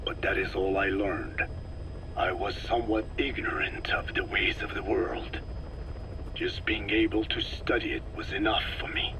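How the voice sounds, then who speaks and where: An actor playing an ancient, soft-spoken scientist in a video game, with a breathy aged voice and a measured, formal way of telling things. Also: male voice, steady tone, close to an everyday voice. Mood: reflective, intense. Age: older. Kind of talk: dramatic video game dialogue. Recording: noisy cassette tape with vehicle-like rumble.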